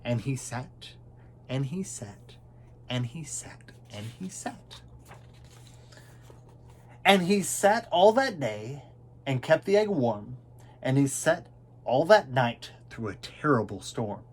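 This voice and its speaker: Male reader, children's rhyming story, theatrical, unhurried, wide expressive pitch swings. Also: slightly rough. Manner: theatrical, dwelling on repeated words.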